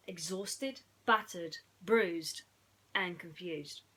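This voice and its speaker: Woman, children's storyteller: very lively intonation, bright delivery, playful and theatrical with chatty asides. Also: medium pitch, poor audio. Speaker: children's bedtime story reader.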